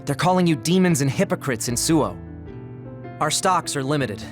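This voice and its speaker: An actor playing a practical young male ally in an anime game, matter-of-fact and brisk. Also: a little high, expressive, soft background music, close to an everyday voice. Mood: concerned. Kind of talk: anime game cutscene dialogue.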